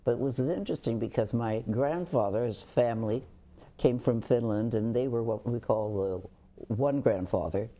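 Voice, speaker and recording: Older woman, interview guest, husky and mellow, halting storyteller. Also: a bass voice. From Canada. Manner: halting, with frequent restarts and hesitations. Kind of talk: reflective conversational storytelling.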